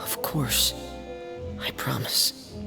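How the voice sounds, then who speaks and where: An actor playing a shy, earnest teenage boy in a video game, speaking in a quiet, measured manner. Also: loud music behind the voice. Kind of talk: video game character acting.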